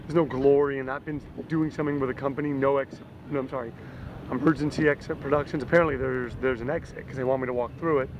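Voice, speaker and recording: A man playing a halting, downcast broadcaster. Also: mid-range voice, fairly even intonation, muffled recording, crowd noise, natural voice. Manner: halting, repeats himself, talks to himself. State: losing his voice. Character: dejected male broadcaster in midlife, self-doubting. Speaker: actor playing a fired sports broadcaster. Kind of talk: improvised-sounding dramatic monologue. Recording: outdoor street noise behind.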